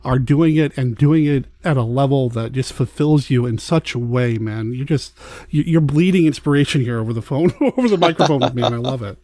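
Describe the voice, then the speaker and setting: A man's voice: medium pitch, a little fast, warm tone, no room sound. A man hosting a podcast, chatting in an informal, self-deprecating way. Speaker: podcast host. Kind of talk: casual interview chat.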